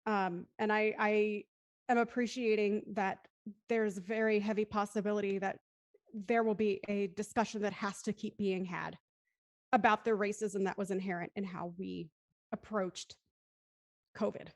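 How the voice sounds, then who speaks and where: A woman's voice: medium pitch, steady tone, a little slow, no echo. A woman moderating a casual panel discussion, speaking reflectively and circling back over her phrases.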